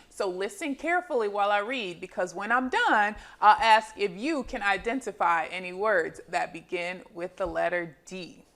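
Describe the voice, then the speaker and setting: A woman hosting a children's phonics lesson and storybook read-aloud, enunciating deliberately and expressively as a teacher does. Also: medium pitch, a bit bright.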